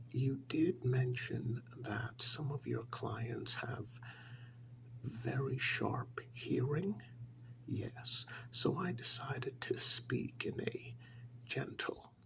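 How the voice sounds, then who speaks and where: A man playing a polite, fussy official in a soft-spoken ASMR role-play, whispering in a formal, hesitant, courteous way. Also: medium pitch, very slow. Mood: polite, businesslike. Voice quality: soft, breathy whisper with gravelly edge. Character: polite, fussy, methodical male tax official auditing non-human clients.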